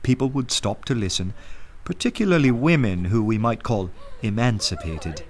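An actor playing an earnest, respectable poet of law and order in a radio play, speaking with literary rhetoric. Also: male voice, on the low side, expressive. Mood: reflective storytelling. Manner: measured when narrating, fervent and rhetorical in debate. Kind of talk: radio drama narration and acting. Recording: music bed under the voice.

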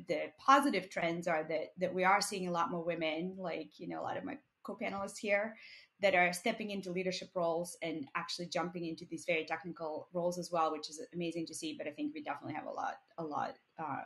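A woman panelist chats in a relaxed, conversational way over a video call. Her voice sits a little low.

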